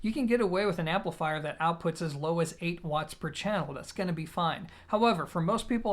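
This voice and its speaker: A man's voice: fairly high pitch, a bit bright. A man presenting an audio gear review, talking conversationally and directly to his viewers. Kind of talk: product review talk to camera.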